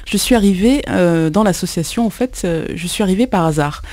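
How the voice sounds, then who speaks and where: A woman from Kinshasa, a musician guesting on a radio show, talking in a halting way with many pauses and repeated words. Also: lowish voice, expressive, darkish tone, dry recording. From Congo, Kinshasa. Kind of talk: radio interview, hesitant conversational speech.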